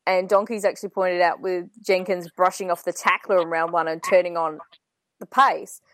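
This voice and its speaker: An Australian woman co-hosting a casual football chat, her voice slightly croaky, talking in a joking, chatty way. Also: on the low side, steady tone, moderate pace, no echo. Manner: chatty, joking, laughs often. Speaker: podcast co-host.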